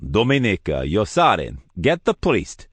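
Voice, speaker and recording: A ruthless Russian gang leader, voiced by a male narrator, speaks with cold, clipped menace in a Russian accent. His words come out flat and threatening. The sound is dry and close. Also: fairly low pitch, measured pace, slightly rough, dry recording, voice pushed up, exaggerated voice. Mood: commanding.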